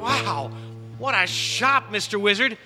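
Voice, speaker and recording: A man's voice: high-pitched, animated, slow pace, music in the background. An actor playing an eager, naive, nerdy boy in comic stage radio theatre, gushing with wide-eyed delivery. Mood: eager wonder. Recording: live theatre hall with long reverb.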